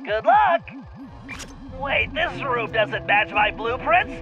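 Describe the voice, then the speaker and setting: A man's voice: very high-pitched, lively intonation, slow, dull audio, heavily acted. A man playing a brainy, earnest cartoon turtle tech planner in a video game, with a precise, fussy manner. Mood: instructive. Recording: music behind the voice.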